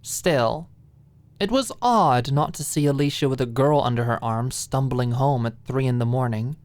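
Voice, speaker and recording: A woman narrating an audiobook in an intimate ASMR style, expressive and deliberate, with dramatic pauses and breathy softening. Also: rumbling low, no echo.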